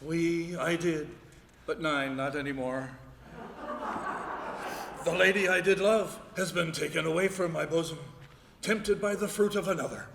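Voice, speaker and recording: An actor playing a rough, lovelorn woodsman in a comic live radio play, declaiming and a bit dim. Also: a little high, unhurried, long pauses, fairly bright tone. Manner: declamatory, slightly dim, mangles his phrases. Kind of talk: live stage radio play, broad comedy. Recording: echoey ballroom stage, noisy hall.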